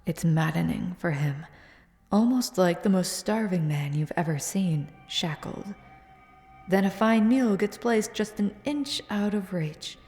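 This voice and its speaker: A woman voicing a hardened female vampire hunter who bosses a rookie around in an audio roleplay, speaking in a low, commanding, teasing way that often sinks to a hush. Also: expressive, measured pace, breathy edge, soft background music, exaggerated voice. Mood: grim, instructive. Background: faint ambient soundscape.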